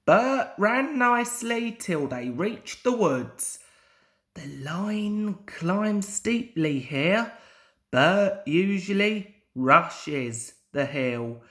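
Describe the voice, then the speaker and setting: A young man reading a children's story aloud in a deliberate, expressive storybook manner. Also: high-pitched. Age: young adult. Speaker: amateur storyteller.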